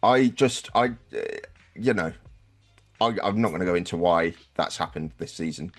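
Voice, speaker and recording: A man's voice: low voice, lively intonation, moderate pace, soft background music. A man hosting a sports podcast, talking casually and wryly as he explains season results, with some swearing. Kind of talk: casual football podcast review.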